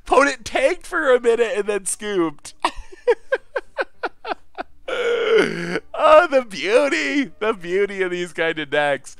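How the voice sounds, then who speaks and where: A high-pitched man with a very lively, bouncy voice talks through a card game on a live stream, cheering and laughing freely. His voice sounds a bit congested and not quite itself. The sound is close and dry, with no room around it. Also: slow, vocal fry, long pauses, dry recording. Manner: excitable, laughs often, cheers at wins. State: a little congested, voice slightly off. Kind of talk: casual live-stream gameplay commentary.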